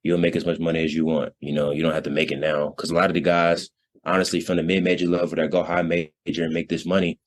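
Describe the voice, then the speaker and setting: Young guy, super low voice, college hooper chatting in an interview, informal and slangy, says you know a lot. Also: little pitch movement, quick pace. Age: early 20s. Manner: informal, slangy, frequent 'you know'. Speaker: college basketball player, interview guest. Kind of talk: casual, conversational interview answers.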